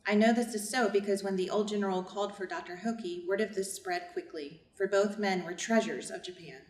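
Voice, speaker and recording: A woman playing a loyal household nursemaid who narrates a reader's theater story in an expressive storytelling manner. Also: mid-range voice, a little fast, slight background noise, close to an everyday voice. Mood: sorrowful, solemn. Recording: echoey room, distant mic.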